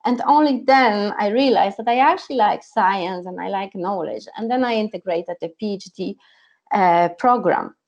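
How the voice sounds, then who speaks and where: A woman's voice: medium pitch, normal speed, darkish tone. Woman on a lo-fi video call. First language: Polish. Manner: earnest, personal storytelling. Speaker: psychologist and coach. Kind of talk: online talk.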